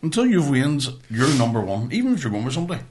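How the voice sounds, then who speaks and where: A man's voice: medium pitch, very animated, fast talker, slight background noise. A middle-aged man with a Northern Irish accent co-hosting a podcast in casual, blunt, sweary chat. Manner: sweary, blunt, ranting about everyday life. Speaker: podcast co-host.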